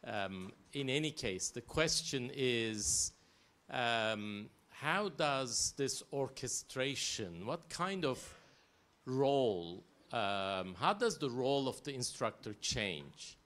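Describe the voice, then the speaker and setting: A man's voice: medium pitch, animated, a bit bright. A man posing a question to the panel, hesitant and full of fillers. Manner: slow, hesitant, many fillers.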